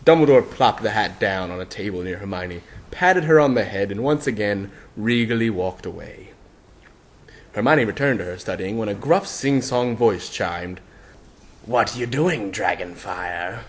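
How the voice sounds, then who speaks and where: A man's voice: on the low side, lively intonation, moderate pace. A man narrating fan fiction as a mock-dramatic reading. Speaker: narrator, reader.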